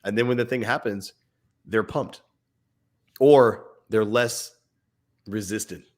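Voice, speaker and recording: Lively low-voiced man giving parenting advice. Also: takes it slowly. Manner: animated, acts out little scenes with his kid, laughs often. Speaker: podcast co-host. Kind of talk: casual parenting advice chat.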